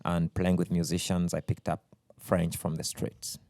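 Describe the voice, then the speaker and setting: A man's voice: low-pitched, monotone, mellow, no echo. A man from Uganda whose first language is Luganda, a musician talking thoughtfully with many fillers in a radio studio interview. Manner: thoughtful, unhurried, with frequent fillers. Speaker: musician guest.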